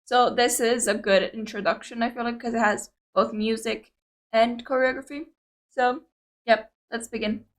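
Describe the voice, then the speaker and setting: A woman's voice: fairly high pitch, fairly even intonation, normal speed. A young woman with a Greek Cypriot accent, whose first language is Greek, chatting casually and rambling to viewers as a reaction video host. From Cyprus. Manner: chatty, rambling, hesitant with many fillers.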